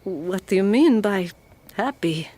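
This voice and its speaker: Light background ambience surrounds an earnest wife in a radio drama. Her voice sits at a middle pitch, and she speaks formally and hesitantly, with quiet stubbornness underneath. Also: lively intonation, slow pace, slight background noise. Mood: hesitant, guarded. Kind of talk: audio drama acting.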